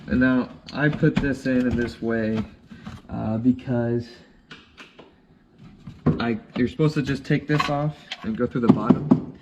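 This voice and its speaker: Man in his twenties, average pitch, casual how-to talk, hesitant phrasing, noisy camera audio. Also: steady tone. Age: 20s. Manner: halting, searching for words. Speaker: DIY vlogger. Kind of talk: casual how-to narration while working. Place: indoors: vehicle cab in a shed, later a basement room.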